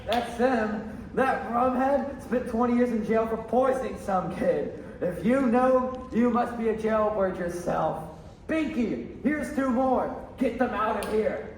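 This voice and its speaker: Bullying barkeep, young male actor, high voice, brusque and sneering, very echoey stage recording. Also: measured pace, slight background noise. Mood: menacing. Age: young adult. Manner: brusque, sneering, barking orders. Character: surly, bullying male bartender. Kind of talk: high school stage radio play acting. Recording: very echoey theater recording from far off.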